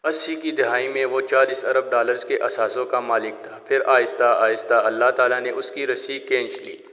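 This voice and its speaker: A man's voice: mid-range voice, a bit bright. A man narrating a scripted moral story in a solemn, declamatory storyteller's manner. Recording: long hall-like reverb on the voice.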